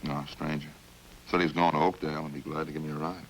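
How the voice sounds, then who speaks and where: An actor in a 1950s radio crime drama playing a chatty killer who confesses in a casual, folksy, matter-of-fact way. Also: male voice, extremely low pitch, flat delivery, quick pace, low-quality recording. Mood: casual, rambling. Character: chatty, hungry male killer calmly confessing over supper. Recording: hissy old-time radio recording.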